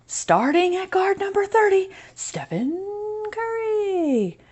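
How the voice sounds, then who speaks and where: A woman voicing a young boy who pretends to be an excited stadium announcer, calling out in a crowd-screaming, drawn-out style. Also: extremely high pitch, pitched above the performer's own voice, heavily acted. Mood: excited, dreamy.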